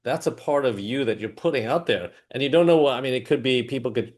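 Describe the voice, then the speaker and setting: A man hosting a casual interview. He asks questions in a halting way, often restarting mid-sentence and filling with "like" and "you know.". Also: mid-range voice, darkish tone. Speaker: host.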